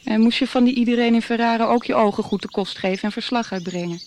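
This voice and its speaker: A woman's voice: on the high side, fast talker, a little mellow, a little hiss or hum, natural voice. An actress playing a shrewd woman in a radio drama, casual yet probing in manner.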